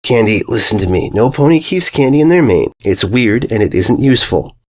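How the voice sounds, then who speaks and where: A man's voice: medium pitch, animated, a little fast, close to an everyday voice. A man voicing an exasperated, grumpy female cartoon pony with a snippy, incredulous way of talking.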